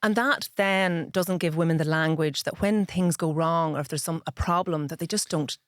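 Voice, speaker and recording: A woman's voice: sits low, normal speed, no echo, clean studio sound. A middle-aged woman with a Northern Irish accent, speaking frankly and in detail as an interview guest. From Ireland. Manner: frank and straight-talking, explains in detail. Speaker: podcast guest, pharmacist. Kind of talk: conversational interview.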